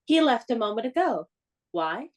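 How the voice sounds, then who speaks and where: A woman's voice: a little high, big pitch swings, moderate pace, darkish tone. A woman playing a self-possessed, polite and guarded young lady in a radio play. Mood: polite, curious. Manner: polite, composed, direct.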